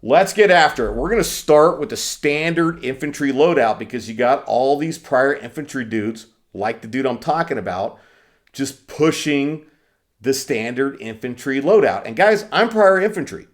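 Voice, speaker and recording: A man's voice: medium pitch, lively intonation, moderate pace. A young man hosting his own channel, sick and losing his voice, talking casually and profanely to camera through a condenser mic in a room with poor acoustics. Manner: loose, profane, opinionated. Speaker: firearms channel host. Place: indoor lounge room.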